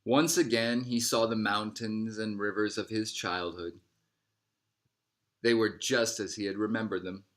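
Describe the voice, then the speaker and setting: A man reading a picture book aloud as a narrator, in measured phrases that settle at the ends. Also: on the low side, fairly even intonation, a bit bright. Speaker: storybook reader.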